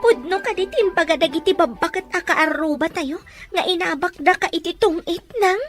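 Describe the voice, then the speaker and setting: A woman's voice: very high voice, moderate pace, over music. A woman with an Ilocano accent playing an earnest, aggrieved working daughter in an audio drama. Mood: upset, accusing. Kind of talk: Ilocano radio-style drama acting.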